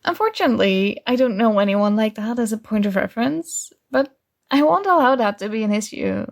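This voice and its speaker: A young woman performing ASMR roleplay as a sweet, oblivious friend, earnestly giving love advice with little hesitant pauses. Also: a little high, expressive. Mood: supportive, upbeat. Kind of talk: soft ASMR roleplay acting.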